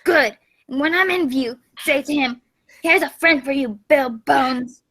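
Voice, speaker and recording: A woman's voice: sits high, a little slow, sharp tone, full character voice. A woman playing a menacing blind old male beggar pirate in a radio play, wheedling or sharply commanding. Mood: threatening.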